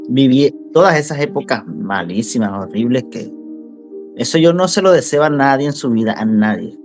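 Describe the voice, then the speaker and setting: A man's voice: medium pitch, animated, normal speed, faint music behind. A young man from central Venezuela with a Venezuelan accent, talking casually as an interview guest and repeating words for emphasis. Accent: Venezuelan Spanish.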